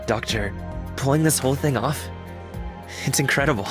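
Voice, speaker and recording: A man's voice: medium pitch, moderate pace, close to an everyday voice. Earnest young engineer lad acted by a man, music behind. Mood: earnest, reflective. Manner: casual, clipped, sincere phrasing. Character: earnest young male dog-eared engineer, humble and eager. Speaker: video game character voice. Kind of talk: scripted game character voice lines.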